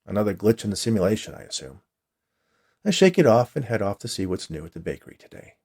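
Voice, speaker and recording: A man narrates a first-person short story in a low voice with plenty of creak. The delivery is scripted storytelling, read by the author himself. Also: very animated, fast, dark tone.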